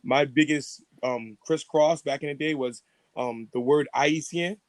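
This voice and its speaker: A man's voice: on the high side, measured pace, no echo. A young man co-hosting a podcast, talking in a playful, rambling way with many restarts. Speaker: podcast co-host. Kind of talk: casual chat.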